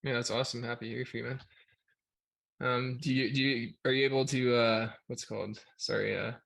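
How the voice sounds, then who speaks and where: A man's voice: mid-range voice, little pitch movement, a little fast, creaky, fairly bright tone. A man hosting a podcast interview, chatty and stumbling over his questions. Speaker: podcast host. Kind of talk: casual interview.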